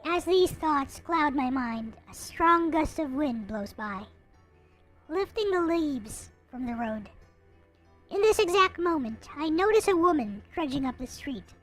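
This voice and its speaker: A woman's voice: high-pitched, animated, measured pace, fairly bright tone, faint music behind, rough recording. A woman voicing a bitter, hopeless young narrator in a visual novel, reading in a melancholy, theatrical way. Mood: gloomy, brooding. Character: gloomy, bitter, hopeless young person going blind, self-pitying narrator.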